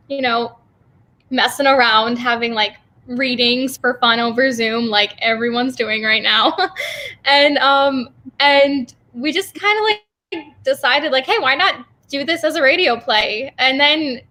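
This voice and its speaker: Casual young-sounding high, bright woman. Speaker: interview guest, theatre company member. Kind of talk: casual interview chat.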